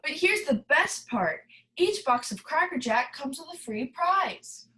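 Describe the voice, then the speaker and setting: A woman's voice: high-pitched, animated, unhurried, bright, forceful tone, heavily acted, rough recording. A woman playing an upbeat radio announcer in an old-style commercial break. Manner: upbeat sales patter.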